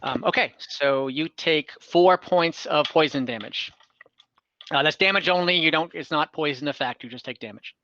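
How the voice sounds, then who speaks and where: A man's voice: fairly high pitch, moderate pace, pushed and bright, dry recording, dull audio. A man acting as game master of an online tabletop game, explaining rules with many hesitations and fillers. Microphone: computer mic on a video call.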